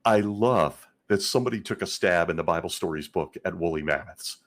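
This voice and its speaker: A man hosting a casual commentary vlog, his voice scratchy and hoarse from illness, talking in a wry, sarcastic way with frequent chuckles. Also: deep voice, a little fast, a bit bright. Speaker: host.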